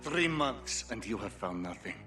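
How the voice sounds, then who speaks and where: An actor playing a menacing, volatile warlord commander with a gravelly, threatening delivery, in a game cutscene. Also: male voice, fairly low pitch, sing-song. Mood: cold menace. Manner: slow, threatening. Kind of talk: video game cutscene acting. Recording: loud dramatic game music behind.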